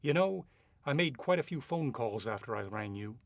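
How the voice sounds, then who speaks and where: A man's voice: medium pitch, lively intonation, normal speed, creaky, dry recording. A man playing a shrewd, cynical private investigator in a radio drama, both narrating and questioning in a noir style. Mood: probing, cool. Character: shrewd hard-boiled male private investigator, cynical and probing.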